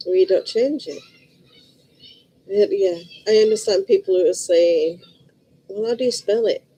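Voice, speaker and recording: A middle-aged woman with a Liverpool accent talks casually to her viewers, rambling from one thought to the next. Her voice is mellow and warm at a middling pitch. She speaks bluntly and chattily. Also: a little slow, husky, low-quality recording. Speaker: vlogger. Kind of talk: casual chat to camera.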